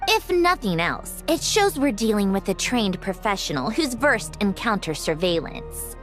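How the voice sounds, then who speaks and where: A haughty young game villainess type, sardonic and teasing. Her mid-pitched voice swings dramatically up and down with an aloof edge. Soft music plays behind. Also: big pitch swings, measured pace. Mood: aloof, composed. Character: haughty, teasing young woman of high rank. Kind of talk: video game cutscene acting.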